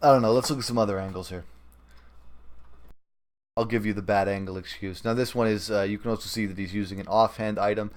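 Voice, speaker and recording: A man hosts a gaming podcast, talking in a casual, conversational way. His voice sits a little lower than average. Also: fairly even intonation, fast talker, pauses often. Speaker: podcast host.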